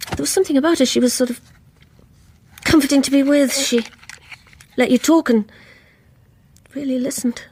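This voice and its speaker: An actress playing a guarded, troubled middle-aged English woman, telling her story haltingly and reflectively in a radio drama. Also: high voice, a little mellow, natural voice. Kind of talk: first-person radio drama narration.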